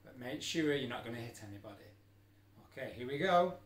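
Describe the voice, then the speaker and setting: A man's voice: mid-range voice, lively intonation, a little fast. A middle-aged man teacher leading a children's exercise video, giving simple, encouraging step-by-step instructions. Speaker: special-needs school teacher leading an activity. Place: indoor room at a wooden table. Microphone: camera a few feet away. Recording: echoey room, music in the background.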